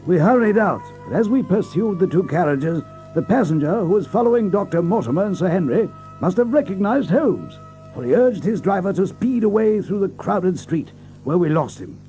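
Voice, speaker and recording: An amiable, earnest gentleman sidekick in an audio drama. His voice is high and very lively in pitch, mellow in tone, with music faintly underneath. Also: moderate pace, rough recording. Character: amiable, earnest gentleman companion to a detective, narrating. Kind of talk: audio drama acting and narration.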